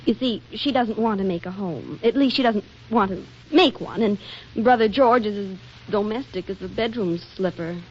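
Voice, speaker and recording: An actress playing a flippant, scheming, exasperated wife in a 1940s radio comedy, delivering wisecracks with arch drama. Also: female voice, medium pitch, moderate pace, darkish tone, slightly rough, natural voice. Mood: wry exasperation. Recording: dull, faintly noisy 1940s radio recording.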